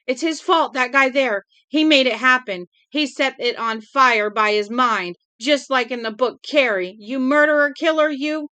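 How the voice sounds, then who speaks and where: A woman reading aloud as a hysterical young woman who hurls accusations in a high, screaming voice. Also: a little slow, few pauses, sharp tone, clear, resonant tone, no room sound.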